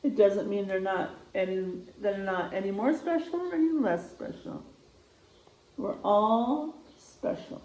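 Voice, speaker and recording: A woman reading a picture book aloud in an expressive, child-directed way, with asides and questions to young listeners. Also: medium pitch, measured pace, reverberant, low-quality recording.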